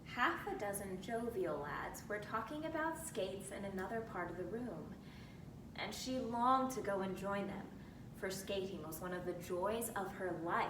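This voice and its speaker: A woman giving an expressive dramatic reading of a classic novel as narrator. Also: moderate pace. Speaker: reader. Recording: roomy, distant mic with rumbling noise.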